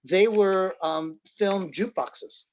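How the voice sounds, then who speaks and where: A man's voice: sits high, slow. A man hosting a casual unboxing, chatting and explaining in a playful, jokey showman way. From New York. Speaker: host, film collector and presenter. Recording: clicky, faintly noisy live room recording.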